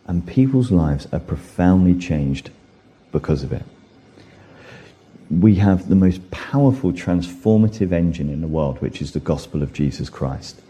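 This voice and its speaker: A man's voice: low-pitched, dark tone, slightly rough. A man preaching a sermon in an earnest way, lifting his voice for emphasis and acting out imagined inner voices. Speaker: pastor.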